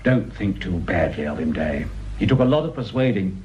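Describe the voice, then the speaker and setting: An actor playing an evasive senior police boss in a radio drama, curt and authoritative. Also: male voice, low voice, lively intonation, moderate pace. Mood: resigned. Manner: curt, authoritative, smooth-talking. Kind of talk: broadcast radio drama acting. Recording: dull sound with faint noise.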